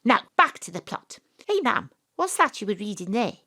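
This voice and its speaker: A woman with a Welsh accent playing an excitable, bossy private investigator in a theatrical audio drama, talking to the audience. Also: fairly high pitch, normal speed, heavily acted. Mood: bossy, eager. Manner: theatrical, exclamatory, addresses the audience. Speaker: lead actor. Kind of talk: panto-style audio drama acting.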